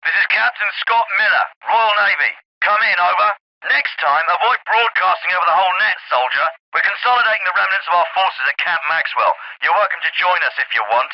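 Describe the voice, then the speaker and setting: An actor playing an authoritative captain with a clipped, commanding delivery in a military video game. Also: male voice, high voice, expressive, fairly quick, no room sound, muffled recording. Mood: commanding, businesslike. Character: authoritative male Royal Navy special forces captain. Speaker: military officer, squad commander. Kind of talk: scripted military game dialogue.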